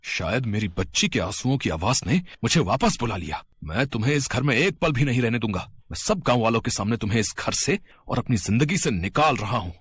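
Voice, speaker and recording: A man's voice: mid-range voice, sing-song, a little fast, a bit bright, breathy edge. A man voicing a loving, remorseful village father in a story, earnest and emotional. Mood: angry.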